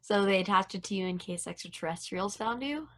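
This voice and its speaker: A woman playing an earnest, curious, naive space probe in a stage play table read, speaking quietly and hesitantly. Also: medium pitch, fairly quick, natural voice.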